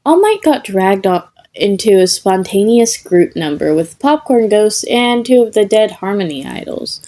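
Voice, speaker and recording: A woman's voice: mid-range voice, expressive, unhurried, mellow. A woman reading a scripted comedic story as narrator. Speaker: podfic narrator.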